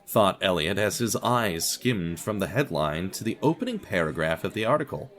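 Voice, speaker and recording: Animated male storyteller with a lowish voice. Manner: theatrical, wry, deliberate storyteller delivery. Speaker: narrator of a fiction podcast. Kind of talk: scripted audio fiction narration.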